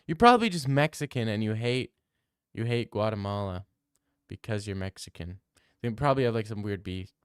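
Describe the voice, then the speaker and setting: A man's voice: on the low side, expressive, brisk, clean tone, no echo, clean studio sound. A young man live-streaming from home on a desk mic, bantering loosely with his chat and swearing freely. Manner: loose, profane banter, reads chat aloud and riffs.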